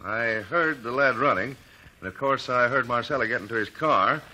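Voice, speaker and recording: A man's voice: medium pitch, moderate pace, pushed and bright, rough recording. An actor playing an earnest, easily flustered eyewitness in an old-time radio courtroom drama, hesitant as he answers. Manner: hesitant, stammering under questioning.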